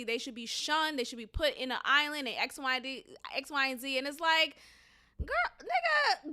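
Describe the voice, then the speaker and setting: A woman's voice: on the high side, sharp tone, clean tone. A young woman with a Boston accent hosting a solo opinion podcast, talking in an opinionated, sarcastic and emphatic way. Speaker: podcast host.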